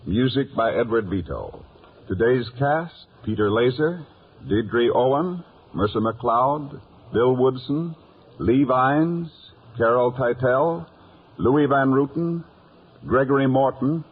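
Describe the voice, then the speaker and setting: A man with an American accent, formally reading scripted credits as a 1950s radio announcer in a measured way. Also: medium pitch, expressive, husky. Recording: tinny 1950s radio recording.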